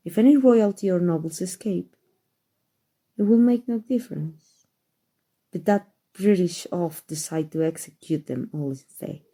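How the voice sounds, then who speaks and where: Woman performing an aristocratic female vampire, very soft dark timbre, lowish pitch, refined formal phrasing, doting and protective, ASMR roleplay.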